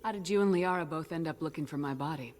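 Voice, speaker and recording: A woman playing a steady human military commander in a video game, speaking directly and with assurance. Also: low voice, fast talker, slight background noise, natural voice. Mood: direct, probing.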